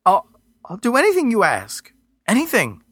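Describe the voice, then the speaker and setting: A man's voice: sits high, huge pitch swings, normal speed, a bit bright, slightly rough, no room sound, clean studio sound, voice pushed up, exaggerated voice. A man voicing a frightened, devoted man who pleads and whimpers in a raised voice. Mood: pleading.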